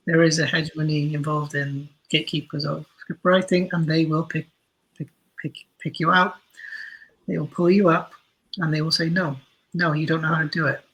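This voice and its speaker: A man's voice: fairly high pitch, level tone, moderate pace, fairly bright tone, breathy edge, rough recording. A man giving thoughtful, opinionated answers as an interview guest, repeating points for emphasis. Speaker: interview guest, audio drama writer.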